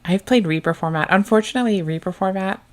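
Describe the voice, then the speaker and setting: A woman chatting casually as a commentator on a card game stream, soft-spoken and quick to laugh. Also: mid-range voice.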